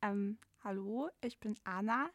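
A twenty-year-old woman from Moscow, a Russian native speaker and student guest, answering calmly and carefully with soft fillers. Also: mid-range voice, a little slow, no room sound. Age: 20s. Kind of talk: podcast interview.

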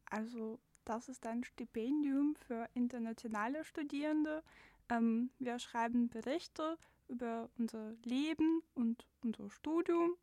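A woman of twenty from Moscow, a native Russian speaker, answers interview questions calmly and with care. Her voice is fairly high and unhurried, recorded dry and close. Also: no room sound. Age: 20s. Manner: calm, careful, with soft fillers. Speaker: guest, international student. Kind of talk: podcast interview.

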